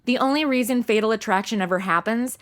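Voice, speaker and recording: A woman's voice: a little high, fast talker, lightly acted. A woman playing a brash, sarcastic modern young woman in a comedy audio drama, full of slang and exclamations. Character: sassy modern young woman, snarky, brash amateur sleuth.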